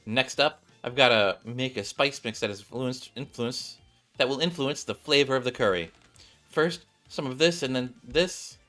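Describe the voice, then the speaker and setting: A haughty young maid from a video game, read out by a man, with a bright, forceful delivery. She is coolly practical and confident, speaking in a matter-of-fact, dismissive way. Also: mid-range voice, normal speed, soft background music, natural voice. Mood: focused.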